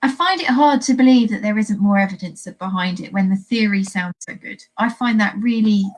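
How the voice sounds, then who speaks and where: A woman's voice: mid-range voice, fairly quick. A woman hosting an informal expert interview, explaining and asking questions in a chatty way. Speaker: host of a live veterinary education series.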